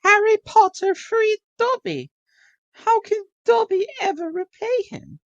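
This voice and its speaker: A woman's voice: very high-pitched, creaky, exaggerated voice. A woman playing a small, humble elf servant in an audio play, devoted and servile, in a dull, echoey recording. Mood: grateful devotion. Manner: slow, drawn-out, third-person servile speech.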